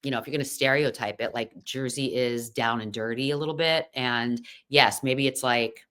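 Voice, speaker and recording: A woman's voice: very deep voice, steady tone, normal speed, fairly bright tone. A middle-aged American woman chatting casually as a podcast guest, full of fillers and restarts. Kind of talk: casual chat.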